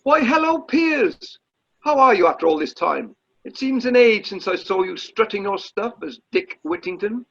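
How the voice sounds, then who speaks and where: A man's voice: high voice, expressive, moderate pace, creaky, a bit bright, heavily acted. A man playing a fussy, polite amateur dramatics director in a comic radio play, stammering and tactfully effusive. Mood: effusive welcome. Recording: slightly dull video call audio.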